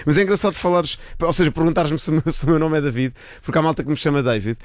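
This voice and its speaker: A man's voice: fairly high pitch, racing, no echo, clean studio sound. A man in his thirties from Portugal, a guest author talking casually in an interview, thoughtful and hesitant with many fillers. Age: 30s.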